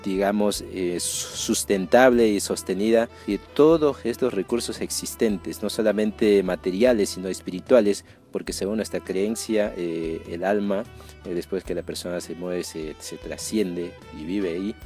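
A man's voice: low-pitched, moderate pace, darkish tone, soft background music. A man from the Amazon, giving explanatory testimony as a community member and searching for his words. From Amazon region of northern Peru.

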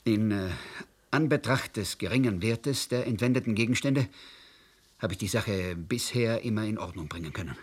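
An actor playing a troubled middle-aged husband in a radio play, speaking haltingly with fillers. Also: male voice, lowish voice, breathy edge.

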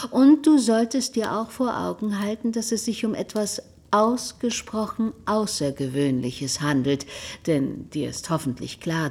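A woman's voice: sits low, a little mellow, husky, exaggerated voice. A woman acting a haughty, scolding aunt in a German radio drama, speaking in a condescending, formal, admonishing way. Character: haughty, scolding aunt putting her niece down.

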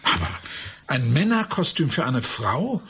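A man's voice: medium pitch, very animated, measured pace, fairly bright tone, breathy edge, full character voice. A man playing a polite, fussy tour guide in a radio play, hesitant but accommodating. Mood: flustered. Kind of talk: German radio play acting.

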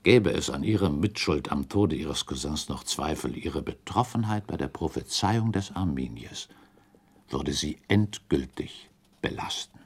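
A man's voice: very deep voice, animated, a little slow, a little mellow, slightly rough, heavily acted. An actor playing a shrewd, relentless accuser in a radio play, speaking formally and precisely as he argues his case. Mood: grave, accusing. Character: shrewd male accuser laying out a murder theory, methodical, relentless. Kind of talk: German radio play acting, period dialogue.

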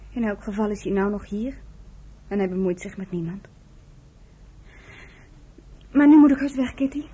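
A woman's voice: medium pitch, lively intonation, moderate pace, dark tone, slightly rough. A woman acting an eager, chatty young nursing trainee in a Dutch radio play, on a noisy old recording. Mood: concerned.

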